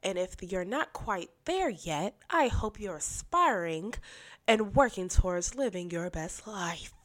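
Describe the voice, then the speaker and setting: A woman's voice: medium pitch, expressive, measured pace, fairly bright tone. Young female podcast host, congested and nasal from a cold, sassy and emphatic, casual commentary. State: stuffy from a cold.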